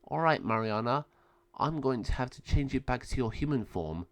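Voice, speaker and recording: A man voicing a wise, kindly but firm godmother sage in a children's storybook, solemn and measured. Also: medium pitch, expressive, no echo, lightly acted.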